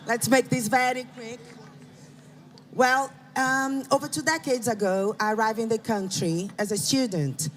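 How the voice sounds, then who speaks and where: A man's voice: very high voice, steady tone, measured pace, a bit bright, a little hiss or hum. A man in his forties with a Brazilian accent giving an earnest, heartfelt speech at an outdoor rally. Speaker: rally speaker.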